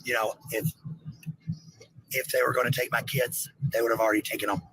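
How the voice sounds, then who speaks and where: A woman with a gravelly, creaky voice, defiant and swearing freely in a heated livestream rant. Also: very deep voice, flat delivery, a little fast, fairly bright tone, slight background noise, low-quality recording. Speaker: parent.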